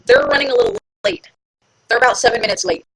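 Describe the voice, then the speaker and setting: A woman's voice: on the high side, expressive, fast talker, slightly rough. A woman hosting a live stream from home, chatting casually and playfully with her viewers and teasing herself. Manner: chatty, playful, self-mocking. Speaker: livestream host.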